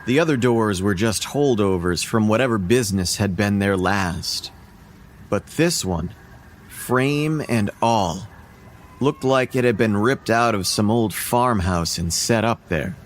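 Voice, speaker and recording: Slow, low-voiced man narrating horror. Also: animated, a little hiss or hum. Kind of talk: scripted first-person horror story narration. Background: music.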